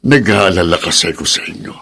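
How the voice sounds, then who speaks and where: A man's voice: deep voice, expressive, brisk, vocal fry, bright, forceful tone, husky, full character voice. A Filipino man playing an earnest, generous but firm elderly father in a radio drama, speaking in a paternal way. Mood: kindly, reassuring. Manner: earnest, paternal, scolding when crossed.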